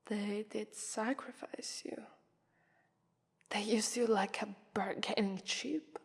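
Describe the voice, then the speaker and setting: A woman with an Italian accent voicing a haughty, taunting vampire mistress in an ASMR roleplay, breathy, condescending and often whispering. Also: medium pitch, steady tone, slow, full character voice. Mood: mocking, taunting. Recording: long reverberant echo.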